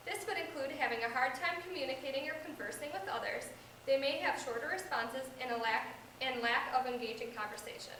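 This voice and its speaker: A woman's voice: sits high, brisk, fairly bright tone. A young American woman playing a patient, supportive reading teacher in amateur reader's theater, with an instructive scripted delivery. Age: young adult. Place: echoey indoor room. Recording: echoey room, distant microphone, background hiss.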